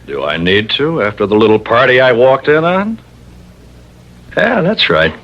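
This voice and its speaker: An actor in an old-time radio drama playing a tough, wry police lieutenant who talks in a dry, commanding way. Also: male voice, mid-range voice, big pitch swings, unhurried. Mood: firm. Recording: dull old radio broadcast recording.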